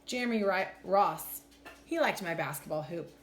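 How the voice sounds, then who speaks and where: Animated woman reading in an echoey room. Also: fairly low pitch, normal speed, faint music behind. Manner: animated, clearly acts out lines. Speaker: storytime reader, teacher. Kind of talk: expressive storybook read-aloud. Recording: echoey room with faint background noise.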